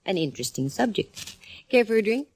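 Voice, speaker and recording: An actress playing a sassy, wisecracking young woman private eye in a 1940s radio drama, teasing and quick with comebacks. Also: mid-range voice, very animated. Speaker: private eye lead and narrator. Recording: old radio broadcast with faint hiss.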